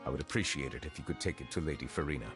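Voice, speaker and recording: An actor playing a stern, aloof chief justice who speaks in a formal, measured and solemn way. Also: male voice, extremely low pitch. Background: soft game music.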